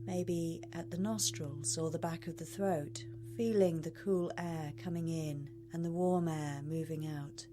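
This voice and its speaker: A woman leading a guided meditation as a coach, speaking in a measured, soothing way with long pauses. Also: deep voice, steady tone, over music.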